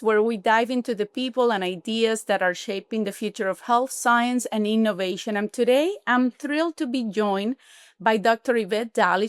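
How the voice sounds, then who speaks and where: A woman hosting a podcast interview, enthusiastic, her phrasing slightly halting. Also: on the high side, clear, resonant tone. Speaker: podcast host.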